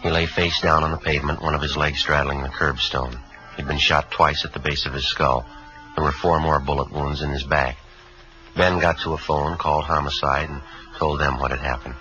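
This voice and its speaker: An actor playing a stoic, weary police detective in a 1950s radio drama, speaking tersely and matter-of-factly. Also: male voice, normal speed, soft background music. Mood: matter-of-fact, grave. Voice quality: low-voiced. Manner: terse, flat, matter-of-fact delivery. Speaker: lead actor and narrator. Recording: dull vintage 1950s radio recording.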